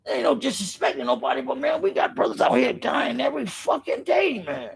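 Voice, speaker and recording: A middle-aged man with a raspy voice, speaking in an impassioned, profane monologue on a podcast, filling his pauses with "man" and circling back through restarts. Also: extremely high pitch, sing-song, slight background noise. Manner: rambling with restarts. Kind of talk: impassioned street-life monologue.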